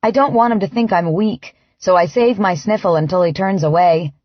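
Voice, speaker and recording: A woman reading first-person fiction as an audiobook narrator, in an expressive, dramatized way. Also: on the low side, moderate pace, no echo, dull audio.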